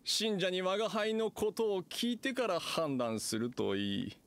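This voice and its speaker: A man's voice: sits high, expressive, a little slow, fairly bright tone, slight background noise, exaggerated voice. A man playing a pompous fake immortal sage in a theatrical anime game style, with grand archaic phrasing. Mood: grandiose. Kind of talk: Japanese anime game acting.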